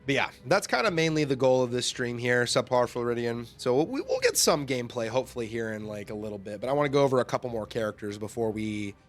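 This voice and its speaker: A young man hosting a casual livestream, rambling and laughing often, his voice getting hoarse from talking. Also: mid-range voice, very animated, quick pace, hardly any pauses, soft background music. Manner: chatty, rambling, laughs often, lots of fillers. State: losing his voice, throat-clearing. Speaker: livestream host. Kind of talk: casual game overview chat.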